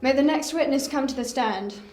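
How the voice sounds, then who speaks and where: Lady acting polite formal court judge, amateur radio play, roomy with clear background noise. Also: sits high, normal speed, close to an everyday voice. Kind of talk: amateur audio drama acting. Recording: echoey, noisy, quiet and distant.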